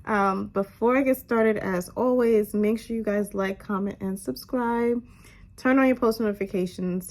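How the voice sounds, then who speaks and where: A young woman with a stuffy, slightly nasal voice tells a casual story time to her camera at home, with lots of fillers. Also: medium pitch. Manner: chatty, lots of "um" and "you guys". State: congested, a little nasal. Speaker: vlogger. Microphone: camera on tripod.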